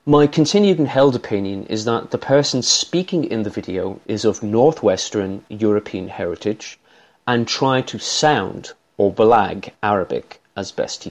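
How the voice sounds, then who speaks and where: Irish man, low-pitched, formal and measured, analyst reading a prepared commentary. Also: a little mellow, muffled recording.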